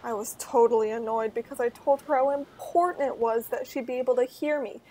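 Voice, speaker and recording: An actress playing a chatty police operation overseer in a comedic radio play. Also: female voice, on the high side, a little fast, dark tone, lightly acted.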